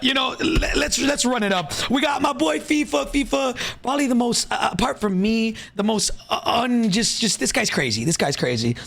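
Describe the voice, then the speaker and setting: A young man hosts a casual gaming podcast, hyping up his guests and stumbling over his words. His voice sits high and swings widely, with a gravelly, husky texture. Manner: excitable, hype introductions, frequent restarts. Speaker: podcast host.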